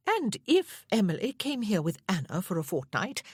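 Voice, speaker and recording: A serene, genteel older lady speaks with fond composure, as a woman voices her. Her tone sits a little higher and lighter, with a soft husky edge and lively lilt. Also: normal speed, dry recording, clean studio sound. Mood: placid. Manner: placid, genteel. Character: placid fond elderly lady, gently teasing aunt.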